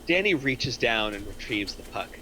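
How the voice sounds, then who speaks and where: Bright-voiced man reading stage directions. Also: medium pitch, big pitch swings, moderate pace, a little hiss or hum, low-quality recording. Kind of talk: scripted narration at a screenplay table reading.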